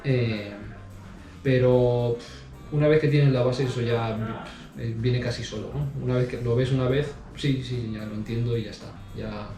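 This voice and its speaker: A man with a mellow, dark tone talks through his answers, pausing often and restarting his sentences. His voice has almost no rise and fall. He sits back from the microphone in an echoey room with faint music underneath. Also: mid-range voice, fairly even intonation, distant mic. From Spain. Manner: hesitant, many fillers and restarts. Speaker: interview guest. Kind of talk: casual interview answers. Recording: roomy sound from off mic.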